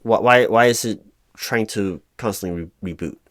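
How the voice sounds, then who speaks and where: Man playing a hesitant, low-voiced flustered office manager. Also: moderate pace, natural voice. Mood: flustered, clueless. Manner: hesitant, stammering, awkwardly polite, monotonous. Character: flustered, hapless male recruiting manager at a big company. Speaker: job interviewer. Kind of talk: one-sided loud-spoken ASMR roleplay.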